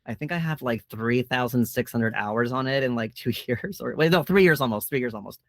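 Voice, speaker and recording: A man from Canada, born in Mexico, gives a casual introduction on a panel. His pitch is average and the sound is dry and close. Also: expressive, brisk, no echo. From born in Mexico, grew up in Canada from age seven. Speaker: panelist, drag streamer.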